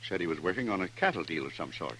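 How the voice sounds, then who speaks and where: Fussy old west banker, deep bright voice, formal n eager to please, vintage radio drama. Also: male voice, sing-song, fast, full character voice, poor audio. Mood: reluctant. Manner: formal, ingratiating. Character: fussy, obliging, discreet small-town frontier banker. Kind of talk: 1950s radio western acting. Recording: vintage radio broadcast with faint noise.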